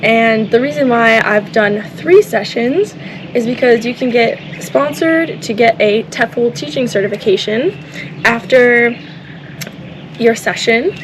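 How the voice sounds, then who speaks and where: A woman's voice: a little high, measured pace, background noise. A woman and native English speaker talking casually in a vlog, upbeat and enthusiastic about a volunteer program. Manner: upbeat, enthusiastic, repeats "awesome". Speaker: vlogger. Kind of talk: casual vlog talk to camera.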